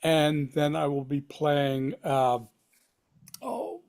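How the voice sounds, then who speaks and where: A man's voice: fairly high pitch, big pitch swings, very slow, creaky, a little mellow. A man giving a casual self-introduction as an actor in a hesitant, wry way. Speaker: actor at a table read.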